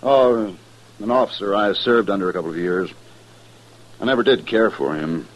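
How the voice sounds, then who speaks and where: An American actor playing a matter-of-fact, laconic army major in a 1950s radio western, with a husky, measured delivery. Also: male voice, fairly low pitch, lightly acted, rough recording. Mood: matter-of-fact, dry. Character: male US cavalry major, matter-of-fact, unhurried officer.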